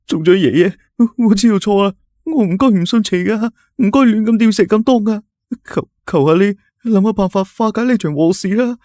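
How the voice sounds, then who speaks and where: Begging young man, put on by a man, high pleading voice, Cantonese accent, contrite. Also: moderate pace, darkish tone, dry recording, clean studio sound, natural voice.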